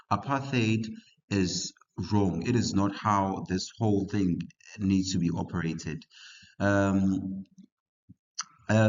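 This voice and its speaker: A young man from South Africa giving a casual history study lesson to learners, halting with frequent fillers and restarts. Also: deep voice. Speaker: history tutor. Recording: muffled lo-fi recording.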